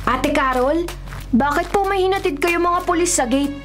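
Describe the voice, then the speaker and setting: An earnest little boy with a high voice. He is polite and inquisitive in the manner of a radio drama, with music playing behind. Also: moderate pace. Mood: curious. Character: curious, earnest, caring young boy.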